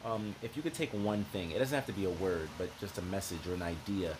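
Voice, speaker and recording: A man's voice: deep voice, a little fast. A young man hosting a podcast interview, affirming his guest with frequent "right" and "mm-hmm" and asking long, winding questions. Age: young adult. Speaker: podcast host. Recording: background noise.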